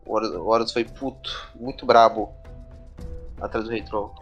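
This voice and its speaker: A man's voice: fairly low pitch, fairly even intonation, normal speed, fairly bright tone, breathy edge, highs cut off, faint music behind. A Brazilian man chatting casually with friends in a joking, informal way as a podcast co-host. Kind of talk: casual chat among friends.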